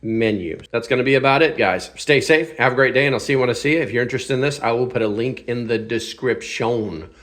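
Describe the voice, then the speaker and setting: A man's voice: mid-range voice, fast talker, hardly any pauses, a bit bright. A man hosting a casual hands-on product review, speaking in a blunt, informal, opinionated way. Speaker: product reviewer and channel host.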